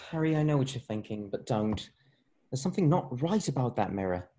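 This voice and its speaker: A man's voice: mid-range voice, big pitch swings, moderate pace, dark tone, a little hiss or hum, natural voice. A man playing a loyal schoolboy wizard in a scripted read, informal and boyish. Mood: concerned. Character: casual, loyal schoolboy wizard best friend. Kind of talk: scripted table read acting.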